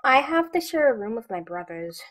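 A woman's voice: on the high side, big pitch swings, moderate pace, a bit bright. A woman playing a naive, nervous little girl in an audio drama, hesitant and full of questions. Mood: curious, unsure. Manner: hesitant, anxious.

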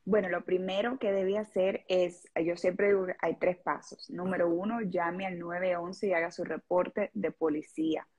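Lawyer lady, cuban-ish miami accent, kinda husky, lowish voice, lays it out step by step. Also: steady tone, normal speed, hardly any pauses, a little mellow. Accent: Cuban-tinged Miami Spanish. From Venezuela. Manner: earnest, explains step by step. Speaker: personal injury lawyer. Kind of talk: radio interview guest.

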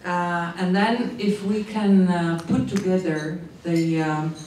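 A woman's voice: on the low side, steady tone, a little mellow, slight background noise. A middle-aged woman with a Czech accent, a non-native English speaker, giving a panel talk into a handheld mic and often searching for words. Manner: slow, searching for words, apologetic. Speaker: panel speaker, migration expert. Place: conference room, seated at panel table. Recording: echoey room, distant sound.

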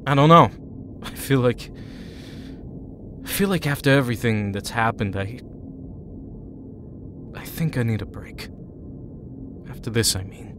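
An actor playing a brooding, wounded teenage team leader in an audio drama, moody and halting. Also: male voice, medium pitch, expressive, background noise, close to an everyday voice. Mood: troubled, weary. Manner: moody, hesitant, curt.

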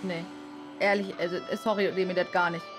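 A young adult woman streaming a let's play, translating the dialogue aloud in a halting, self-correcting way with casual asides. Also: lowish voice, level tone. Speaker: live streamer reading a game aloud. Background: game music.

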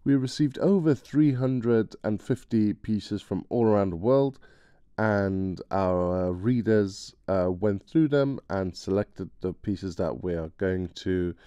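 A man's voice: fairly low pitch, slow, mellow, smooth, no room sound. A man co-hosting a podcast, giving a casual introduction. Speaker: podcast co-host and sound designer.

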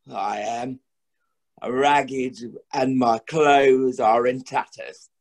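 An actor playing a weary, downtrodden working-class father, proud and bitter with grief, in a measured theatrical verse monologue. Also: mid-range voice, natural voice. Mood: grieving. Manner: slow, measured, theatrical delivery with refrains.

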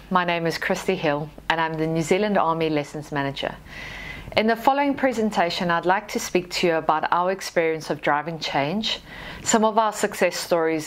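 A woman in her thirties with a Zimbabwean accent gives a prepared conference presentation in a formal, measured manner. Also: on the low side. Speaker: army lessons manager giving a presentation. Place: small indoor studio with dark backdrop. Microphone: lapel mic.

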